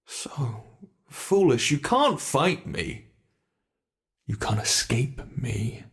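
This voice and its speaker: A man's voice: mid-range voice, big pitch swings, a bit bright, exaggerated voice. A man voicing a gleeful, predatory monster from under the bed in a horror ASMR roleplay, theatrical and taunting as he addresses the listener. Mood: menacing, mocking. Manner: theatrical, taunting, slow and relishing.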